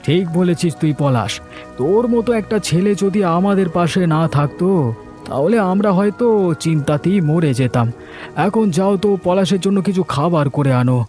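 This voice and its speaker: A man acts out a quarrelsome village husband for a cartoon tale. His voice sits high for a man and pushes its words out with emphasis, indignant and ready to scold. Soft music runs behind him. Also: expressive, moderate pace. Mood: vengeful, determined. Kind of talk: Bengali cartoon dialogue acting.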